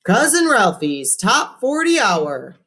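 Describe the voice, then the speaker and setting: A woman's voice: on the high side, big pitch swings, takes it slowly, a bit bright, pitched above the performer's own voice, exaggerated voice. A woman voicing a showy, upbeat male radio DJ speaking in announcer patter.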